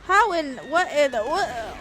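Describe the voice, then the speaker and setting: A woman's voice: high-pitched, big pitch swings, slow, fairly bright tone, a little hiss or hum. A young adult woman reacting casually to a video game as a live streamer. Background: game music.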